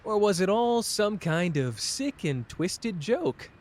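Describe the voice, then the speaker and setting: Man acting an intense, betrayed young sorcerer, animated pitch. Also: slow pace, a little hiss or hum, heavily acted. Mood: hurt, angry. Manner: emotional, pleading, swearing, addressing the listener intimately. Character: betrayed, fierce, protective young male sorcerer in love. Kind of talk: dramatic ASMR character roleplay.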